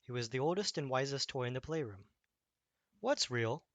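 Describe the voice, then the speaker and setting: A man's voice: medium pitch, fast, no echo. Man narrating a bedtime story, close dry sound.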